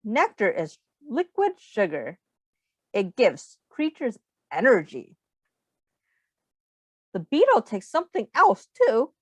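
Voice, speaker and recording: A woman with a high, hugely varied voice reads aloud to young children. She teaches as she goes, taking her time, explaining in simple terms and putting questions to the listeners. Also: slow, long pauses, breathy edge, no room sound. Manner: instructive, expressive, addressing young children with questions.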